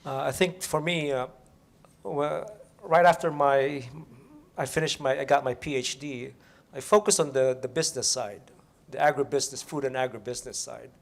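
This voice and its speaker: Gravelly man from the Philippines. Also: medium pitch. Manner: halting, with many restarts. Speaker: researcher on a panel. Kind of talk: conference panel talk.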